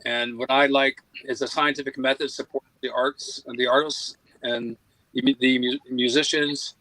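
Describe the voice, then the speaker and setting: Bright, flat-toned man speaking slowly. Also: mid-range voice, no room sound, rough recording. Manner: thoughtful, unhurried, pauses between words. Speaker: guest scientist. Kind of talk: live-streamed conversation. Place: on a boat.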